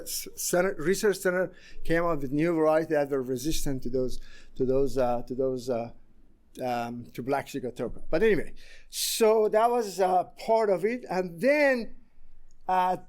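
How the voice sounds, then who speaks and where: A man in his sixties from Iran with an Iranian accent, giving a conference presentation in a halting way with many pauses. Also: a little high. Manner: halting, many fillers and restarts. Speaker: conference speaker.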